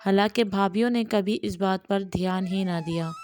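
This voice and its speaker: A woman storyteller narrating a scripted domestic tale in an unhurried, measured manner. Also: mid-range voice, music in the background. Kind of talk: scripted audio storytelling in Urdu with acted dialogue.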